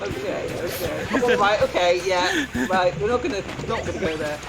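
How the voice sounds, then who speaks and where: A man's voice: high-pitched, animated, moderate pace, vocal fry, rough recording. A man in casual banter during a game commentary. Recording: loud game music behind the voice.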